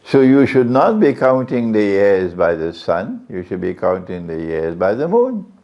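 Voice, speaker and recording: A man's voice: lowish voice, very animated, measured pace, a little mellow. A male Islamic preacher giving a religious lecture to a live audience, questioning the listeners and repeating key phrases. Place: lecture venue. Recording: dull lo-fi lecture recording.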